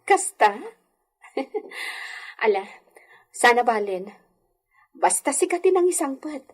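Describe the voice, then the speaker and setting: A woman's voice: on the high side, very animated, slow pace, exaggerated voice. A woman playing a young well-born lady in a radio drama, with acted lines. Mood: sweet, curious.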